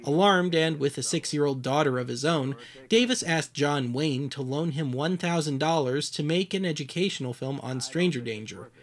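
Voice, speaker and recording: Guy with a slightly high voice doing wry documentary host narration, reading from a script. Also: moderate pace, hardly any pauses.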